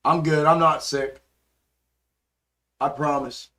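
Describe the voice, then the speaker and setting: Hoarse male livestream host, easygoing, slightly high voice, casual market chatter. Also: steady tone, takes it slowly, sharp tone. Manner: laid-back, calls viewers bro and man. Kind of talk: casual live chart commentary and chat replies.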